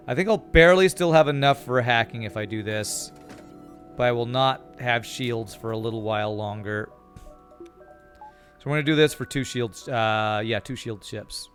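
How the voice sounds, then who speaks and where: A man's voice: mid-range voice, normal speed. A young man from California with an American accent, chatting casually with his viewers while he plays a game and reads their comments aloud. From California, USA. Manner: relaxed, chatty, reads chat aloud and answers. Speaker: streamer. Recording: faint music behind.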